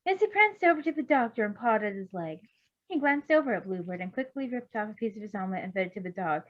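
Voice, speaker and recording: Woman, expressive amateur narrator, story reading, muffled lo-fi recording. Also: mid-range voice, fast talker. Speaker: fan fiction reader. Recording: dull lo-fi recording.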